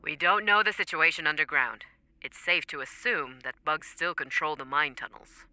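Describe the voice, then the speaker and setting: An actress playing a cool, businesslike military command officer in a video game, briefing in a clipped, formal way. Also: female voice, deep voice, moderate pace, bright, forceful tone, no echo, soft background music. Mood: alert, businesslike.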